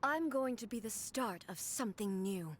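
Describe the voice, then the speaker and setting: A woman playing a solemn, stern warrior deity in a game, speaking formally and with measured gravity. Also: on the high side, animated, lightly acted. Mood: solemn resolve.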